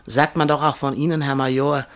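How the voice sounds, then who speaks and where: A man's voice: mid-range voice, level tone, brisk, close to an everyday voice. An actor playing a humble, sly barber in a German radio play, deferential, pleading and evasive toward his master. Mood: sly, defensive.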